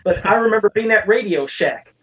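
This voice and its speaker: Man, podcast co-host, rapid speech, slightly high pitch, rambling stories, swears freely, casual gaming chat. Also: animated, highs cut off. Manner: profane, rambling storyteller.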